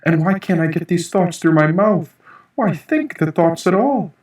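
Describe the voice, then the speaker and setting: Man playing a dazed tripper, raised pitch, rambling questions, full of wonder, audiobook character, echoey room. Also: animated, measured pace, a little mellow. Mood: musing, puzzled. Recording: echoey room, distant mic.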